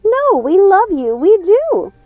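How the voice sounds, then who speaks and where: A woman's voice: very high-pitched, sing-song, slow, dry recording, voice pushed up, heavily acted. A woman voicing a frantic, wheedling witch sister in a storybook, pleading shrilly and repetitively. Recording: dull lo-fi sound, music underneath.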